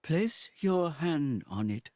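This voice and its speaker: An actor playing a solemn, wise old village guardian in a video game cutscene, with a husky voice. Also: male voice, fairly high pitch, sing-song, slow pace, a little mellow, dry recording.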